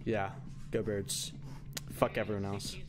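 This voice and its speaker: A man's voice: lowish voice, little pitch movement, a little slow. A man playing a video game, trash-talking over an intercom in a brash, profane, taunting manner.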